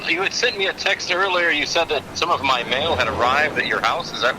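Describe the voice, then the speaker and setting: A man's voice: fairly high pitch, fairly quick. A man chatting casually on a phone call, in an easygoing conversational style. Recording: noisy outdoor phone call.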